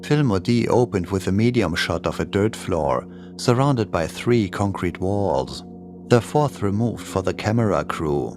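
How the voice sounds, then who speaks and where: A man's voice: low-pitched, lively intonation, unhurried, over music. A man reading a scripted horror story as its narrator.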